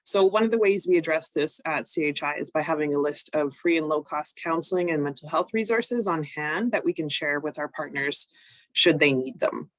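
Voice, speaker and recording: A woman, recovering from a cold, presenting an online training session in a measured, explanatory way with frequent ums. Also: low voice, no echo. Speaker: workshop presenter.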